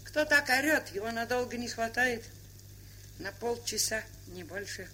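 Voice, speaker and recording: A woman's voice: fairly high pitch, animated, a little slow, sharp tone. An actress playing a shrewd, sardonic older woman camp trader, lecturing with worldly irony in a theatrical stage style. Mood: dry, mocking. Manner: worldly-wise, ironic, lecturing. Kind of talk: theatrical stage drama acting. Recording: hissy 1970s studio drama recording.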